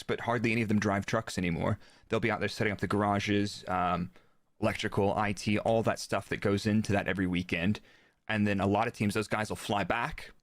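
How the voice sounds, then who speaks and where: A man's voice: lowish voice, dry recording, clean studio sound. A man talking casually as an interview guest, explaining technical work in the manner of an engineer. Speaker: guest, former Formula One performance engineer.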